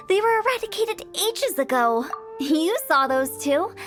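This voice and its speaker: A woman's voice: very high voice, expressive, slow, music in the background, full character voice. A woman voicing a playful, confident young funeral parlor director in a game. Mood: teasing.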